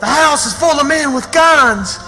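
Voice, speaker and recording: An anxious, plain-spoken country boy from a radio drama , played by a man. His Southern American voice is extremely high and very sharp, always questioning. It rings in a hall with faint music behind. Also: expressive, unhurried, heavily acted. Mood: urgent, panicked. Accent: rural American South. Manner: questioning, protesting. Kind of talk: radio theatre acting.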